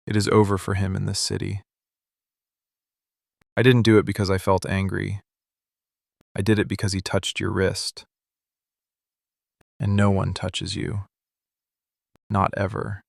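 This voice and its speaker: Guy doing a mafia boss boyfriend asmr, low gravelly voice, slow n intense, possessive, super dry close mic. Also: dry recording. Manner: slow, deliberate, intense, hushed confiding delivery. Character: possessive, menacing mafia boss in love, adult man. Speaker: ASMR voice actor. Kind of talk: intimate boyfriend ASMR roleplay. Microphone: close studio microphone, dry.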